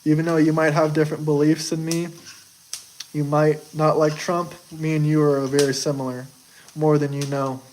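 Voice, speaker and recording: Young man, from the US, higher-pitched, boastful, defensive, casual stream talk. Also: flat delivery, moderate pace, a little mellow, slight background noise, rough recording. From United States. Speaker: streamer answering chat. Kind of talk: casual live chat.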